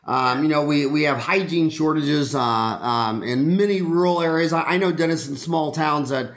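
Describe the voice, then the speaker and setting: A man's voice: fairly high pitch, normal speed. A middle-aged man hosting a podcast, chatting in a rambling, enthusiastic, joking way with many fillers. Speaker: podcast host, dentist. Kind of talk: casual interview chat.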